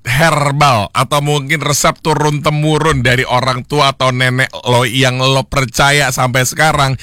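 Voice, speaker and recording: A young man hosting a solo radio show, chatting casually with listeners and slipping English phrases into his talk, with a slightly hoarse throat. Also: fairly high pitch, pushed and bright, no echo, clean studio sound. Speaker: radio host. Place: radio studio. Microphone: studio microphone.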